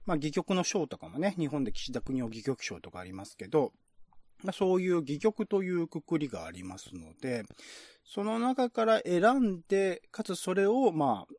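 High-pitched, animated man presenting radio drama. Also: normal speed, no echo. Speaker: radio drama host and narrator. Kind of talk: casual hosting and dramatic script reading.

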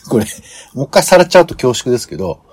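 Husky, questioning male co-host. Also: medium pitch, sing-song, very fast, a little mellow. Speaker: podcast co-host giving reactions. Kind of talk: casual chat.

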